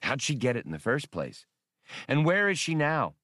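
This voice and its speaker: A man's voice: medium pitch, huge pitch swings, normal speed, a bit bright, no room sound, clean studio sound, pitched above the performer's own voice. A man voicing a brash, impatient starship pilot with a blunt, challenging manner in an audiobook. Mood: demanding.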